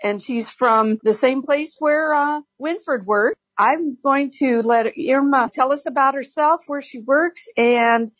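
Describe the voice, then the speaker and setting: A woman's voice: high-pitched, a little slow, hardly any pauses, a bit bright, no room sound, poor audio. A woman host giving an upbeat introduction of a guest for a home management segment. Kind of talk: program introduction.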